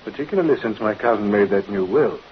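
A man's voice: on the low side, lively intonation, quick pace, natural voice. A man playing a suspicious, insinuating relative in vintage radio drama, pointed and sardonic. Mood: suspicious, cutting. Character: suspicious, insinuating male cousin of an heiress. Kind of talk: 1950s radio drama acting. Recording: muffled old radio recording with hiss.

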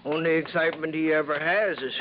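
An actor playing a folksy, nosy but helpful apartment house manager in a 1950s radio drama, chatty and colloquial. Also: male voice, a little high, steady tone, fast, close to an everyday voice. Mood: obliging, chatty. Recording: muffled, noisy 1950s radio recording.

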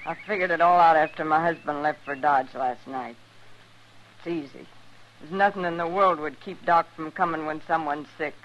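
Stern American frontier farm wife, radio western: woman of few words, deliberate, unyielding; dull vintage sound. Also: low-pitched, a bit bright. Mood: grim. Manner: terse, deliberate. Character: grim, resolute frontier farm wife and mother. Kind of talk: old-time radio western acting.

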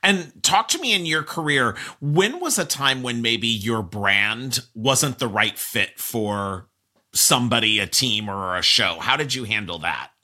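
A man's voice: mid-range voice, bright, forceful tone. A man hosting an interview, putting conversational questions to his guest.

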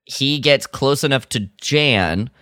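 A man's voice: mid-range voice, lively intonation, slow pace, sharp tone, clean tone, no echo, studio quality. A man co-hosting a podcast, recapping a radio drama in casual chat, rambling with lots of fillers and restarts. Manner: rambling, full of fillers and restarts, quotes characters mockingly. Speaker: podcast co-host.

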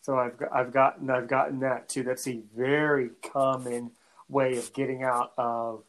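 Halting North American male podcast host. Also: medium pitch, level tone, a bit bright, rough recording. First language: English; French is his second language. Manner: halting, often repeats words before finishing a thought. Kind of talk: conversational interview.

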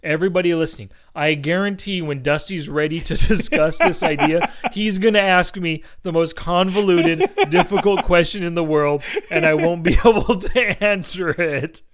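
A man's voice: on the high side, normal speed, few pauses. A man hosting a casual movie podcast, talking in a chatty, slangy, enthusiastic way. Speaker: podcast host.

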